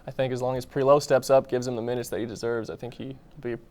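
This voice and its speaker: A man's voice: mid-range voice, level tone, quick pace, darkish tone. A man giving casual sports commentary as a panelist in a discussion.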